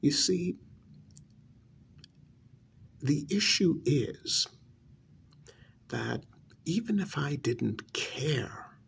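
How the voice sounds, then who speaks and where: A man playing a cruel, haughty vampire aristocrat in an ASMR role-play, speaking in a gravelly, mock-sympathetic drawl that often drops to a whisper. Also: on the high side, expressive, drawn-out words, pauses often, a little hiss or hum, exaggerated voice. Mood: cold menace. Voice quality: gravelly, creaky. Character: cruel, haughty male high-society vampire taunting a captive. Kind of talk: ASMR role-play, intimate dramatic monologue.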